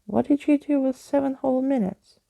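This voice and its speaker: A woman's voice: high-pitched, normal speed, creaky, mellow, no room sound, voice pushed up. A woman voicing a sarcastic young girl in a storybook, blunt and exasperated. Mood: annoyed.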